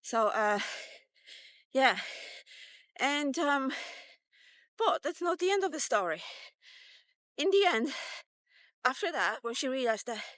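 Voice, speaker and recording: A woman's voice: high voice, big pitch swings, slow pace, long pauses, fairly bright tone, no room sound. A woman in her fifties telling a personal story in a casual, rambling, conversational way, like a homemade fairy tale. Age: 50s. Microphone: walkie-talkie style vlog, recorded close.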